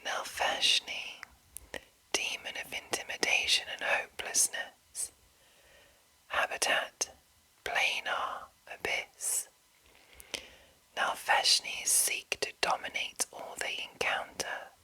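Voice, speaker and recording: Female ASMR host, crisp-edged bright whisper, unhurried and soothing, throat sore and cracking. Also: rough recording. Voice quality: breathy unvoiced whisper. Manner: slow, soothing, deliberate delivery. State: under the weather with sore throat and breaking voice. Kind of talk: whispered ASMR, reading and commenting.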